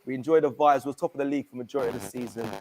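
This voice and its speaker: A man's voice: mid-range voice, racing, a little hiss or hum, poor audio. A young man, chatting casually and laughing easily as a regular guest of a football fan channel. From England. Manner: lively, slangy, laughs easily. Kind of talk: casual chat.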